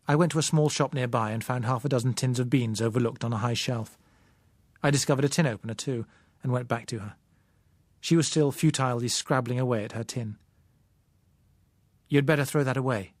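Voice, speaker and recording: A man's voice: medium pitch, a little mellow, no room sound. British man narrating a novel, dry studio sound. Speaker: audiobook narrator. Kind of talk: dramatic scripted novel narration.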